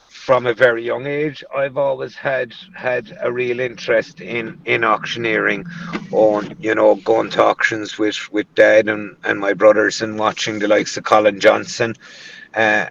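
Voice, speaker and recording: A man with a Cork accent, a guest auctioneer chatting in an interview, unhurried and pausing often mid-sentence. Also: lowish voice, creaky, a bit bright. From Cork City, Ireland. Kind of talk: casual interview chat. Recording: dull, distant mic.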